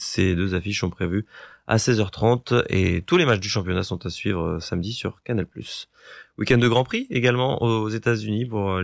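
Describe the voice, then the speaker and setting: A young man presenting a student radio sports column, briskly listing upcoming fixtures and kickoff times. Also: lowish voice, vocal fry, dry recording, clean studio sound. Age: young adult. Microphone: studio microphone.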